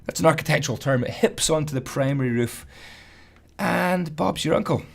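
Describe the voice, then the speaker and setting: A hoarse man, chatty and self-deprecating as he gives a casual building tutorial voice-over. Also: on the high side, moderate pace. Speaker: Minecraft building tutorial host.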